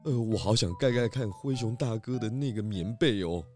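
A man's voice: on the low side, normal speed, faint music behind, lightly acted. Man voicing a clever pig detective. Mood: shivering. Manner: calm, explaining, hesitant fillers when cold. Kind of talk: children's audio drama acting.

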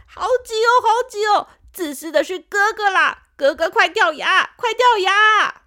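A woman's voice: animated, a little slow, pushed and bright, full character voice. A woman voicing a young boy tooth in a storybook, whiny and demanding. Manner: shrill complaining, demanding. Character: whiny bossy young male baby tooth.

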